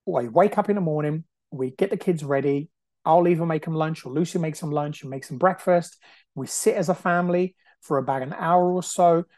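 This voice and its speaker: A man's voice: on the high side, a little mellow, dry recording. A man in his forties from Brazil, whose first language is Portuguese, hosting a podcast in an earnest, casual monologue full of "you know" and repeated phrases. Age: 40s. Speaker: podcast host. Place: at home on the sofa.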